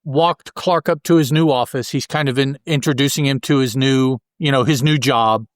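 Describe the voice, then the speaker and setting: A man's voice: mid-range voice, steady tone, normal speed, dry recording, clean studio sound. A man hosting a podcast, recapping a comic book in a casual, colloquial way with joking asides. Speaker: podcast host.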